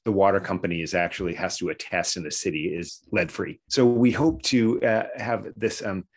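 A man's voice: deep voice, normal speed, slightly rough. A man talking conversationally as an interview guest, explaining things step by step like a researcher.

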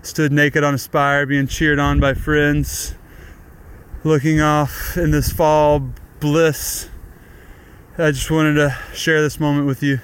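Heartfelt man, smooth voice on phone. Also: fairly high pitch, flat delivery, takes it slowly. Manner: heartfelt, grateful. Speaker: listener sending a recorded greeting. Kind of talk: casual voice message. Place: outdoors, high on a slackline.

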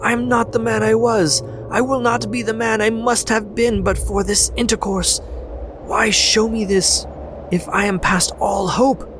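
A male storyteller puts on an old miser's voice, pitched high and pleading in anguish. He cries out desperately over music playing in the background. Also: expressive, takes it slowly. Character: old miser man, terrified and begging.

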